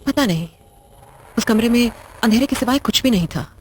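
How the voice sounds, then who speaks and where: A woman's voice: a little high, animated, moderate pace, a little mellow, slight background noise, natural voice. A woman playing a blunt, controlling widowed wife in an audio drama, speaking in a curt, sardonic, interrogating way. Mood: grave, recounting. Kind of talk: Hindi audio drama acting.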